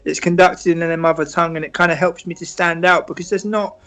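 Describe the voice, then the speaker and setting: A British man answering interview questions in a measured, reflective way, often restarting and filling pauses. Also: fairly high pitch, little pitch movement, a bit bright. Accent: British English. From Britain. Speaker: football writer and interview guest.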